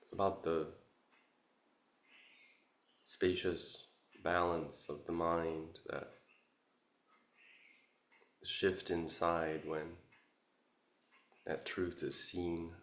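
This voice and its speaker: A man guiding a meditation as a Buddhist teacher, contemplative and halting, with long pauses between short phrases. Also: deep voice, little pitch movement, a bit bright. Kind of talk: guided meditation, Buddhist dharma talk. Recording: roomy, echoing sound.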